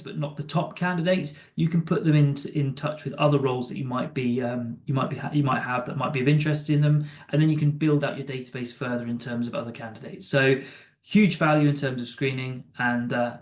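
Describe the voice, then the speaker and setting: A man presents a product recap in an informal, conversational way. His voice is of middling pitch and sounds roomy, with clear echo around it. He sometimes trips over words as he goes. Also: fairly even intonation, a little fast. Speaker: software demo presenter.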